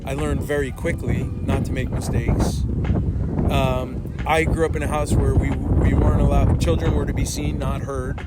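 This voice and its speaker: A man in his forties, a parent, giving measured answers to a street interviewer in a park. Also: medium pitch, little pitch movement, heavy background noise. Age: 40s. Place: outdoors in a park.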